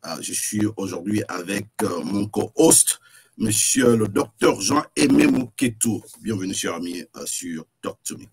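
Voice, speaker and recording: Husky man with a slight cough. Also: lowish voice, unhurried, low-quality recording. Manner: relaxed, joking banter, repeats words. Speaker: host. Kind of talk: casual live talk show chat.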